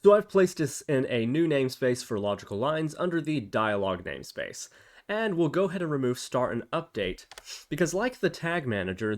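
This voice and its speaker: A man hosting a software tutorial, explaining each step conversationally and thinking aloud as he goes. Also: mid-range voice. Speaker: tutorial host. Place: indoors at a computer, voice-over for screen recording.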